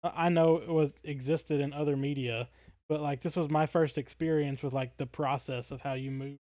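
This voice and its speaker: A man's voice: on the high side, steady tone, moderate pace, warm tone, no echo, studio quality. A man co-hosting a podcast in casual fan discussion, feeling his way toward words as he talks. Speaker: podcast co-host.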